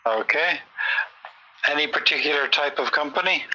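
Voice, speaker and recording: A man in his forties from Ireland with an Irish accent, chatting casually and patiently slowing down to explain grammar. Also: a little high, sharp tone, dull audio, slight background noise. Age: 40s. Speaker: conversation partner. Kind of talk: casual chat.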